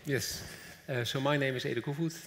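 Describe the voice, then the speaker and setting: A man's voice: medium pitch, steady tone, a little fast, a bit bright, slight background noise. A middle-aged man speaking as a founder on a conference panel, on stage in a large hall. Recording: long reverb of a large hall.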